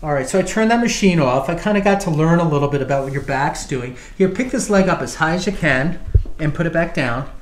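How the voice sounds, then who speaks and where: A man's voice: on the high side, animated, fairly quick. Middle-aged male chiropractor, echoey room. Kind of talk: casual hands-on treatment talk. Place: indoor chiropractic treatment room. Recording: echoey room with faint background noise.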